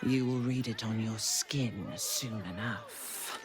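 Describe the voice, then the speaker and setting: An actress playing a scornful, menacing assassin in a fantasy game, drawing out threats in a sinister, mocking way. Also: female voice, extremely low pitch, steady tone, slow pace, loud music behind the voice.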